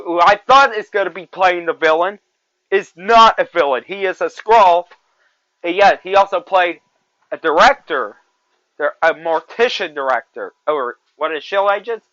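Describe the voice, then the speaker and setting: Young man in his twenties, podcast host; high, bright voice; rambles and repeats himself; dry, muffled lo-fi recording. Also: no echo. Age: 20s. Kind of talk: solo movie review podcast.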